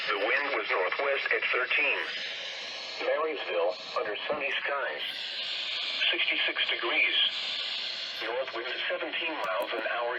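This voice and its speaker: A computer-generated male voice reading a weather radio test and conditions in a flat, even manner. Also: mid-range voice, highs cut off, noisy background. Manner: flat, evenly paced reading of lists. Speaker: automated weather radio announcer. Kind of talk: synthesized weather broadcast reading. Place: played through a weather radio receiver.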